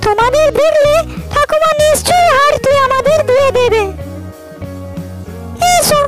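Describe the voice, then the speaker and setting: A clever little child in a cartoon fairy tale. The voice is tiny and extremely high, chattering quickly and eagerly over loud music. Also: female voice, rough recording.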